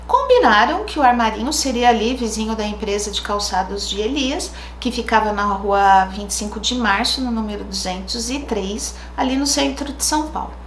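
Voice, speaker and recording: Woman, Brazilian Portuguese accent, slightly high voice, casual true crime host, echoey room. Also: expressive, normal speed, few pauses, a little hiss or hum. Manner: chatty, thinks aloud with asides.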